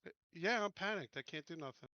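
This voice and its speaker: A man's voice: high voice, expressive, quick pace, sharp tone, no room sound, lo-fi sound. A man playing a tabletop game, talking casually in a blunt, complaining way.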